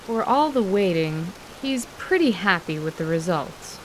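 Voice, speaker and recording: Measured woman narrator, faint hiss behind. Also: on the low side, expressive, poor audio. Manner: measured, literary storytelling. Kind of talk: scripted fantasy narration.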